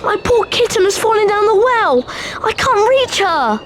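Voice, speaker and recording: An actress playing a young student at a school of magic in a video game, childlike and expressive. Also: female voice, extremely high pitch, normal speed, slight background noise, full character voice. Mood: worried, pleading.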